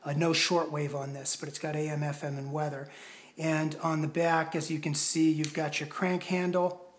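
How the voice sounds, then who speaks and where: A man giving a casual product demonstration in a measured, explanatory and somewhat hesitant way. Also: a little high, little pitch movement, slight background noise. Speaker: product reviewer.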